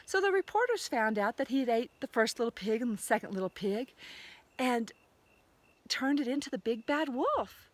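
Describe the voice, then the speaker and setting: Female storyteller, conversational, higher-pitched, very lively intonation for a children's fairy tale. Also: moderate pace. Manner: animated, conversational. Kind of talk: oral fairy tale retelling.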